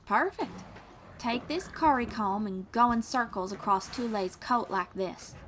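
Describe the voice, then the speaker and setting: A friendly young traveling bard played by a woman with a slightly high voice and a folksy drawl. She guides and reassures in an encouraging audio-roleplay style, over faint background noise. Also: animated, a little slow. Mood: patient, instructive. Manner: encouraging, reassuring, gently guiding. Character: friendly young female traveling bard who teaches riding. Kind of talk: second-person audio roleplay acting.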